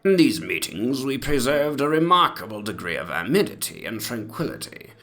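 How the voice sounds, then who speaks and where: A man plays an ancient, pompous Georgian gentleman reading out his memoirs. His delivery is bright and forceful, swinging widely in pitch, with antiquated, mannered phrasing and savoured words. He is fond and gossipy throughout. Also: creaky, exaggerated voice. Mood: genteel reminiscing. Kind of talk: dramatic reading of a mock memoir.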